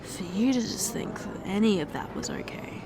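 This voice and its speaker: A woman playing a distraught young fiancée who confesses in halting speech, often restarting her sentences. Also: medium pitch, very animated, noisy background. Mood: hushed, wounded. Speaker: ASMR roleplay performer. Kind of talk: ASMR roleplay.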